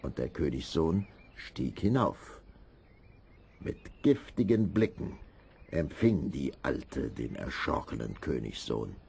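Deep, gravelly male fairy-tale narrator. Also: expressive, takes it slowly, warm tone. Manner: dramatic storytelling.

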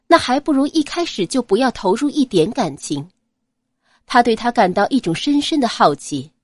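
Expressive woman narrating an audio novel. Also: a little high, no room sound, studio quality.

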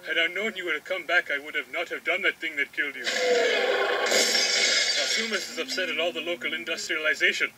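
An actor voicing an earnest, oddball gentle giant teenager in a cartoon, stiff, formal and deadpan. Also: male voice, on the high side, fairly quick, very noisy, natural voice. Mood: solemn.

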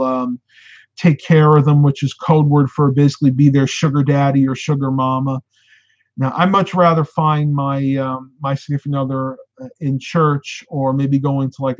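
A man in his thirties, hosting a casual live stream from a room at home, talking animatedly and getting a bit hoarse. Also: fairly high pitch. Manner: animated, gestures with hands while talking. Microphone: webcam at desk. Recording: slightly dull lo-fi webcam sound.

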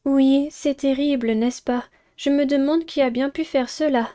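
Woman playing a polite, mellow schoolgirl delegate. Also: high voice, fairly even intonation, a little slow, voice pushed up. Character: polite, helpful teenage girl class delegate.

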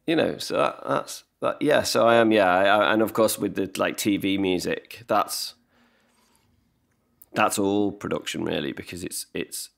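A man's voice: lowish voice, measured pace, creaky. A man in his forties, a songwriter guest on a video call, talking at length with many restarts and self-deprecating asides, at a desk mic in his living room. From England. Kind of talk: casual podcast conversation. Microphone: desk mic on stand, video call.

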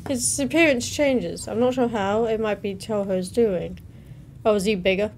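A woman's voice: medium pitch, normal speed, darkish tone. Young woman reacting casually, noisy background. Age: young adult. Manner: informal, interjecting between read-out captions. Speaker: reaction video host. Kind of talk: casual reaction commentary, reading captions aloud. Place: small room at home, indoors. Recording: noticeable background noise.

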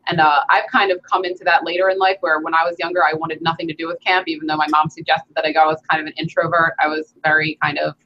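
A woman's voice: sits low, little pitch movement, fast, hardly any pauses, lo-fi sound, a little hiss or hum. A young woman hosting an interview from her home gym over a video call, chatting casually with many "you know"s. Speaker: host.

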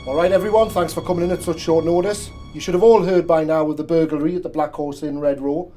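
A man's voice: fairly high pitch, steady tone, warm tone, faint music behind, natural voice. A man playing a senior detective briefing. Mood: solemn. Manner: measured, authoritative briefing. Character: senior male police detective briefing his team.

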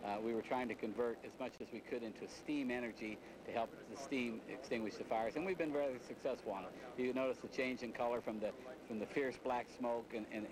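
Older fire marshal guy outside, stumbly halting talk, loud crowd noise behind. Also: mid-range voice, poor audio. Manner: halting, repeats words. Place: outdoors at a fire scene.

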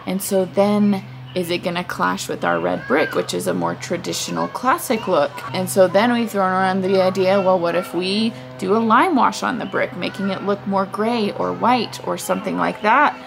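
A woman's voice: medium pitch, moderate pace, gravelly, hardly any pauses, over music. A young woman chatting to a handheld camera on her front porch as a family vlogger, rambling and thinking aloud. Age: young adult. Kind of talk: casual vlog talk to camera. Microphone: handheld camera close up.

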